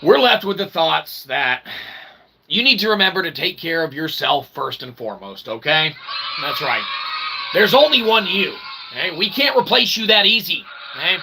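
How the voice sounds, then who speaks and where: A man with a fairly high, gravelly voice holds forth to his viewers in a rambling philosophical monologue. His phrasing is wordy and mock-formal with archaic turns, punctuated by frequent check-in tags. Clear background noise sits behind the voice. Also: very animated, normal speed, bright, forceful tone, poor audio. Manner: constantly tags phrases with "okay?". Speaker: host of own channel, independent author and developer.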